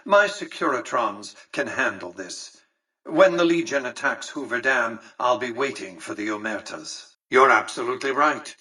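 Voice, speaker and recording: A man's voice: medium pitch, bright, forceful tone, breathy edge, recorded from a distance, full character voice, low-quality recording. A man voicing a video game character, an imperious, calculating older tycoon who speaks in a condescending, precise and formal way. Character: imperious, calculating older male tycoon commanding a robot army.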